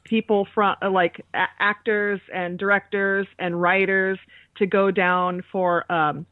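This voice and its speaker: A woman's voice: mid-range voice, flat delivery, a little slow, no echo, phone-quality sound. A woman co-hosting a podcast in casual chat, heard over a thin call line. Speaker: podcast co-host.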